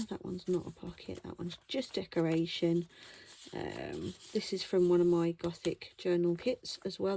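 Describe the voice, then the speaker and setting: A woman getting over a cold, croaky, rambling casually with fillers and self-corrections as she shows a handmade journal. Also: medium pitch, warm tone, slight background noise. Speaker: crafter showing her handmade journal. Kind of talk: casual show-and-tell narration.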